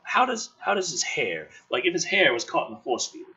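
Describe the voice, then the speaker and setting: Raspy, forceful, sardonic man. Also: a little high, big pitch swings, brisk, muffled recording. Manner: sardonic, sweary, exasperated asides. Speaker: host reading and critiquing a film script draft. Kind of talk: dramatic script read-aloud with casual profane commentary.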